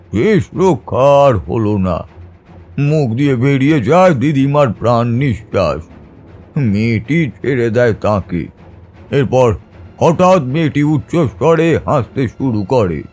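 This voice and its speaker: A man's voice: medium pitch, very animated, slow, a little mellow, soft background music. A man giving a dramatic, suspenseful scripted narration of a horror story in a theatrical manner.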